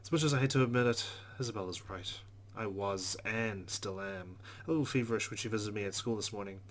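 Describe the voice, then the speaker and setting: A man's voice: lowish voice, quick pace. A man hosting a let's play, narrating a visual novel aloud and adding his own asides.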